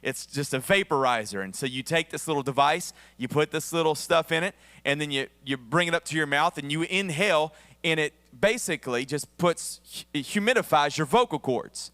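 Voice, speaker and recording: A man's voice: fairly high pitch, normal speed, sharp tone, dry recording, in a large hall. A male pastor with a Southern American accent preaching a conversational sermon with humorous asides, his voice a bit worn. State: voice somewhat worn, losing his voice. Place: church sanctuary.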